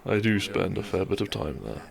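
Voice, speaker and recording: A man acting a gentle, devoted country doctor and bridegroom in a romantic audio drama, soft-spoken and formal in his wording. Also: deep voice, a little fast, creaky. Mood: thoughtful, agreeable.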